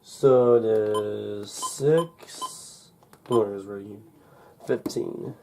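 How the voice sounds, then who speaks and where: Male, casual gadget demo, very dark soft-edged voice, slightly stuffy from a cold, rambling and hesitant. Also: fairly low pitch, a little hiss or hum. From United States. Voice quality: slightly congested. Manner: halting, rambling, muttering to himself. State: recovering from a cold. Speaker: gadget unboxing video maker. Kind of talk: casual demonstration while handling a device. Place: indoors at a wooden desk in a room. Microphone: camcorder held or set near the desk.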